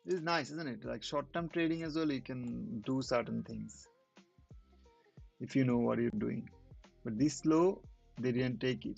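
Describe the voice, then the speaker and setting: A man whose first language is not English, hosting a trading channel and commenting casually on live charts in halting speech with many restarts. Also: mid-range voice, creaky, highs cut off.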